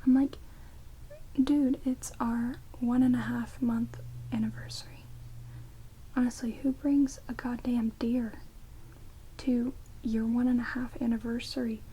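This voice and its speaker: A woman's voice: fairly high pitch, unhurried, long pauses, poor audio. Woman playing a whispering, sardonic vampire woman. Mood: gossipy, bored. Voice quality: soft whisper, breathy. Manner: teasing, dry, casually chatty. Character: sardonic immortal female vampire, rude yet playful caretaker. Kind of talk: ASMR roleplay. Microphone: close binaural microphones. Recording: steady rain noise on binaural recording. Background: heavy rain.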